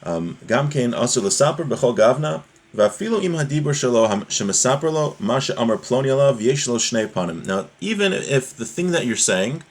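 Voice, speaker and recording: A man's voice: mid-range voice, very animated, moderate pace, few pauses, husky. A man giving an informal religious lesson, reading from a source text and explaining it conversationally with everyday examples. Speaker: religious teacher giving a class.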